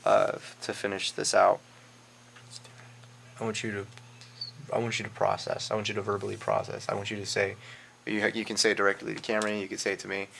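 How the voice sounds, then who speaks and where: A man casually prompting students in an interview as their director, giving halting, repetitive instructions. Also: fairly low pitch, monotone, gravelly, a bit bright. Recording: faint background noise.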